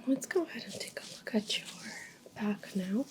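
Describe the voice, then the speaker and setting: A woman whispering softly in an ASMR medical exam roleplay, speaking deliberately in short phrases with pauses between them. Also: mid-range voice, expressive. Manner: slow, deliberate, soft-spoken, pausing between short phrases. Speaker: ASMR performer acting as a medical examiner.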